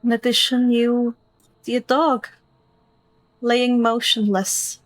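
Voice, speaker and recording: A woman acting as game master, narrating a tabletop role-playing game in a descriptive, deliberate way. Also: a little high, flat delivery, no echo, rough recording.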